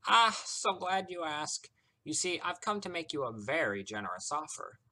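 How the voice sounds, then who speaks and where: Guy doing a smug trickster dude for a story, sickly sweet teasing, higher pitched, swoops around a lot. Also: sing-song, moderate pace, sharp tone, a little hiss or hum. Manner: theatrical, teasing, over-the-top sweetness. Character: smug mischievous male trickster, mockingly sweet and theatrical. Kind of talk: character voice in narrated story.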